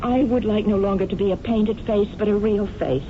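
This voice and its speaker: A woman's voice: medium pitch, brisk, natural voice. A woman as an earnest young actress. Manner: earnest, heartfelt. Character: young female actress, idealistic and lovestruck. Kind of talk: 1970s radio drama. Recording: tinny, hissy old radio broadcast.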